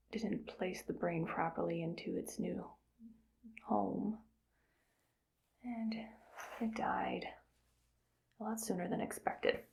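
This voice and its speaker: A woman performs a calm, cold female evil scientist for an ASMR roleplay. She mostly whispers, and her words stay clinical and matter-of-fact. Her voice is middle-pitched with a crackly, gravelly fry. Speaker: ASMR creator.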